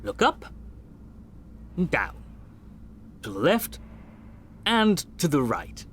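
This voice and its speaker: A sci-fi game doctor with a slightly raised voice, brisk and formal. He instructs in an encouraging way over noticeable background ambience. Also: a little high, huge pitch swings, a bit bright, slight background noise, heavily acted. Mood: brisk, instructive. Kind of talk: video game tutorial dialogue acting.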